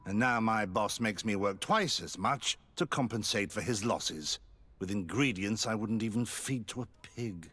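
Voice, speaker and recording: A man's voice: on the low side, moderate pace, fairly bright tone, husky. A man playing a bitter, overworked alchemist's employee in a video game. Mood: disgruntled.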